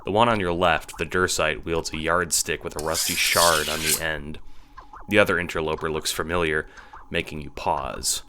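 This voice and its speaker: A man's voice: rumbling low, steady tone, moderate pace, noisy background. A man narrating a scripted audio drama story as its narrator and voice actor.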